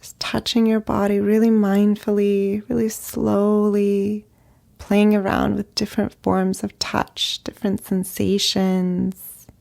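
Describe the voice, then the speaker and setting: A woman leading a guided meditation as a therapist, speaking gently in short phrases with long pauses. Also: mid-range voice, monotone, vocal fry. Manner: slow, gentle, pausing between short phrases.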